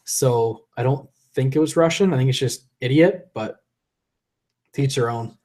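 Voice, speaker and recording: A man's voice: mid-range voice, moderate pace, a little mellow. A man hosting a casual live stream from home, rambling loosely and swearing as he reads chat, a little tipsy from drinking, into a desk condenser mic. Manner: rambling, loose and profane, reading chat aloud. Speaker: livestream host. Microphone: desk USB condenser mic.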